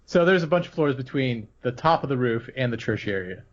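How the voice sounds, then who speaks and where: A man's voice: mid-range voice, lively intonation, fairly quick. Male tabletop player, mid-range voice, casual chat, slightly dull lo-fi audio.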